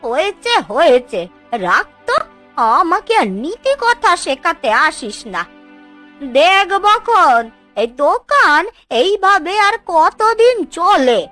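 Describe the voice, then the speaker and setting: A woman voicing a touchy, nagging old village aunt in a cartoon, with folksy, drawn-out scolding. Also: very high voice, big pitch swings, sharp tone, faint music behind, exaggerated voice. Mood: offended, scolding. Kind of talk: Bengali cartoon dubbing.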